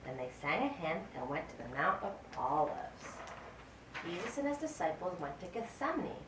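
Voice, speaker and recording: A woman reading a scripted Bible story as narrator of a puppet show, in a deliberate, expressive way. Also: lowish voice. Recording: roomy, distant mic, faint background noise.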